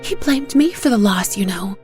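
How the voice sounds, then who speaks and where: A woman voicing a grieving, battered woman with a raw voice in a dark audio story. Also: medium pitch, expressive, normal speed, faint music behind, voice pushed up, natural voice. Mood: anguished. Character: grieving, battered woman confessing her loss.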